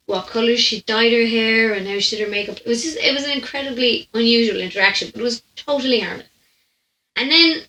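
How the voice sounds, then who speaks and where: Bright-voiced young Irish woman telling stories. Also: on the high side. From Ireland. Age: young adult. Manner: animated, chatty, laughs while telling. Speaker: podcast host. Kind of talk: casual comic anecdote storytelling. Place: indoor room at home. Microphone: condenser microphone on stand, close. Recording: roomy echo.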